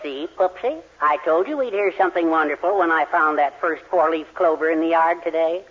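A man's voice: on the high side, brisk, lightly acted. An actor playing a henpecked, cheerful middle-aged father in a 1940s radio sitcom, meek, doting and droll. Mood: meekly cheerful. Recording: muffled 1940s radio, faint noise.

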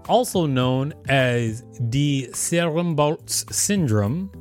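A man co-hosting a podcast, reading an article aloud and breaking in with joking commentary. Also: mid-range voice. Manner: animated, joking asides. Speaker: podcast co-host.

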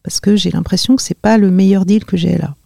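A woman in her fifties from the Paris region, a podcast guest talking hesitantly with many pauses and fillers. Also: medium pitch, steady tone, gravelly, mellow, no room sound, studio quality. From Paris region, France. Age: 50s. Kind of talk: podcast interview.

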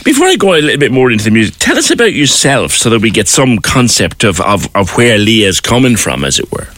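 A man's voice: mid-range voice, sing-song, fairly quick, a bit bright. A man hosting an interview, reflective, prompting his guest with short agreements and questions. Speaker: interview host. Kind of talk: interview conversation.

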